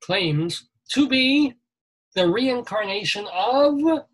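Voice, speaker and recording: Middle-aged male radio host with a husky voice; wisecracking news reader. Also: high voice, lively intonation, slow, rough recording. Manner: jokey, reads news items with quips. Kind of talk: comedic news chat.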